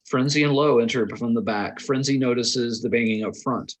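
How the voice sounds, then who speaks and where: A man's voice: medium pitch, normal speed. A man reading scripted stage directions aloud as narrator at a table read.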